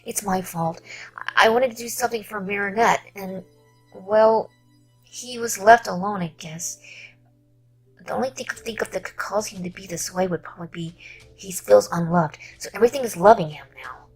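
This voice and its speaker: A woman voicing a worried, guilt-ridden young character in a fan audio drama, with halting delivery that slides into murmurs. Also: mid-range voice, slightly rough, soft background music, low-quality recording. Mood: guilty, pleading.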